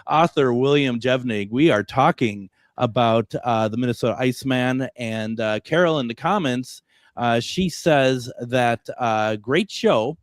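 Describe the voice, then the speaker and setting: A man's voice: mid-range voice, measured pace, no room sound, studio quality. A man, hosting a radio talk show, chatting casually and reading his own ads, restarting often with "uh".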